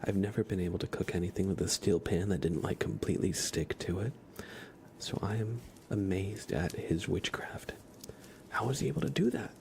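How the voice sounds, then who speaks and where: A man hosting an ASMR livestream in a soft, hushed voice that often nears a whisper, chatting and reading in a laid-back, gently joking way with halting pauses. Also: low-pitched, creaky, slight background noise. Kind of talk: ASMR, casual chat and tarot reading for viewers.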